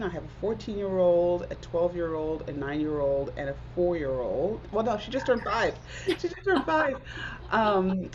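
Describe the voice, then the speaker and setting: A woman in her forties, originally from Zimbabwe, talks as an interview guest with a fairly low voice. She sounds earnest and reflective, leaning on "like" as she thinks. The audio is slightly dull and lo-fi. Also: darkish tone, slight background noise. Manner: earnest, reflective, many "like" fillers. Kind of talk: casual conversational interview.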